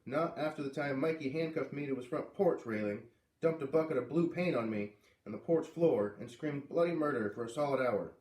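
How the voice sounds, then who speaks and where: A man reading a children's book aloud, expressively, with dramatic pauses on key words. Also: mid-range voice, darkish tone. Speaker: read-aloud reader. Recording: echoey room, distant microphone.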